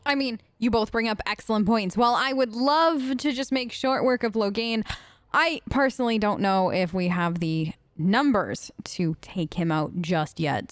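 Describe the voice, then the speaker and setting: Smooth-voiced woman doing casual game role-play. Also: a little high, no echo, clean studio sound. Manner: conversational, improvising in character. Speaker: gaming host.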